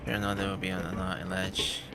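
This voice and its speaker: A man streaming a game live, giving casual, halting commentary and muttering partly to himself. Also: sits low, completely flat delivery, gravelly. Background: game music.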